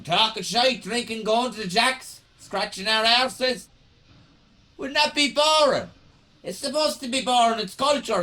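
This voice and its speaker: A man's voice: very high voice, bright, forceful tone, full character voice. A man playing a gossiping, foul-mouthed Irish factory worker bantering in a comic radio play. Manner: colloquial, sweary back-and-forth banter.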